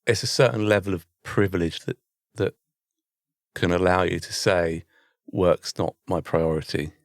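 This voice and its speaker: A man's voice: deep voice, moderate pace, gravelly, no echo, studio quality. A man with a British accent chatting informally as a podcast co-host. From Britain. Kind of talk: casual chat.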